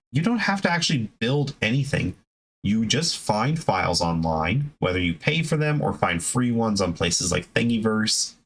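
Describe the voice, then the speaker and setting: A man hosting a hobby video, giving a casual, unscripted explanation in a conversational, halting way with self-corrections. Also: medium pitch, a bit bright, rough recording.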